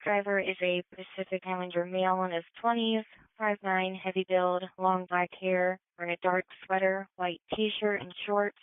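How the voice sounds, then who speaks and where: A woman's voice: lowish voice, flat delivery, normal speed, few pauses, smooth, no room sound. A woman working as a police dispatcher, reading out details procedurally over a radio scanner channel. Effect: radio transmission. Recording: tinny narrow-band radio scanner.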